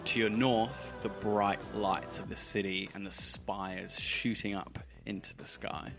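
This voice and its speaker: A man's voice: lowish voice, steady tone, unhurried, gravelly, over music. A man narrating a tabletop roleplaying game as game master, informal and descriptive.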